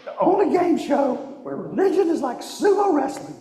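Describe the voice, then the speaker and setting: A man voicing an excitable, scheming monkey puppet who hosts a game show in a live children's show. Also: very high voice, normal speed. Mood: excited. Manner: hyped-up game show announcer delivery. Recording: echoey church hall, distant mic.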